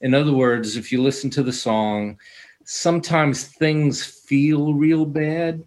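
A man's voice: a little high, steady tone, slow, a little mellow. Rambling, proud musician man. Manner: rambling, proud, self-deprecating. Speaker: musician guest. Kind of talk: casual talk show interview.

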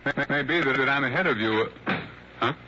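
An actor playing an urbane, quietly scheming male writer in a 1960s radio drama, speaking in a hesitant, teasing, genteel way. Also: medium pitch, fast talker, natural voice. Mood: intrigued, conspiratorial. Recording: dull, faintly noisy old radio broadcast.

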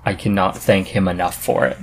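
Guy w a lowish voice hosting a chatty podcast, earnest and into it. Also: fairly even intonation, a little fast, gravelly, slight background noise, rough recording. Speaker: podcast host. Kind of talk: casual podcast conversation.

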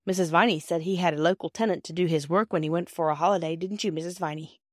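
A woman reading the part of an eager, brisk young boy in an audiobook. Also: on the low side, no room sound, clean studio sound, close to an everyday voice. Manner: brisk, excitable, indignant.

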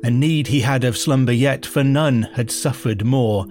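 A man's voice: mid-range voice, normal speed, a little mellow. Man reading aloud over music. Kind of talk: poetry reading and scripted podcast promo.

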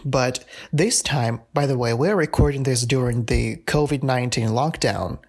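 A man's voice: medium pitch, normal speed, darkish tone, slightly rough. A man in his early twenties co-hosting a casual podcast with a friend, often laughing and complimenting her. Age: 20s. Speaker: podcast co-host. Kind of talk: casual chat.

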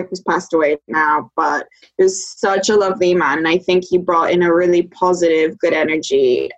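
A woman's voice: fairly low pitch, low-quality recording. Young woman, from Poland, casual chat, reflective, fillers. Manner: reflective, uses "like" often. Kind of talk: casual conversation.

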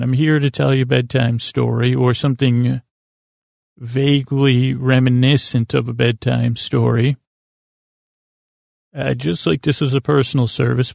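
A man with a creaky voice, hosting a podcast as a rambling, halting bedtime monologue that trails off and restarts. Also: medium pitch, a little mellow, no room sound, studio quality. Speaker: podcast host.

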